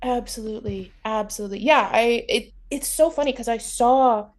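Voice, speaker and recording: A woman's voice: a little high, moderate pace. A woman hosting an interview in a casual, effusive and encouraging way. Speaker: interview host. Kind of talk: casual chat.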